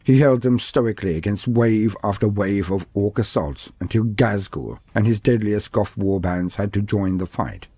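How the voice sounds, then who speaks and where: Male narrator, low and mellow, husky, dry close sound, scripted lore storytelling. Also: lively intonation, moderate pace, few pauses, no room sound.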